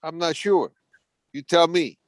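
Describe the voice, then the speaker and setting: A man's voice: a little high, a little slow, no room sound. Dry, teasing man with a close voice. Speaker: call participant. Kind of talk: casual chat. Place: video call.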